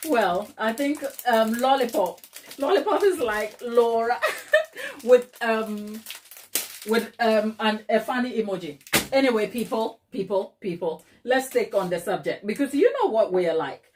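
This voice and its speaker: A woman's voice: a little high, a little slow, darkish tone, a little hiss or hum. A middle-aged woman from Ghana hosts a baking live stream. She is chatty and laughs easily, turning to her viewers often as she talks. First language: Twi. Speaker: cake decorating live-stream host. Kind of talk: casual live-stream chat and tutorial.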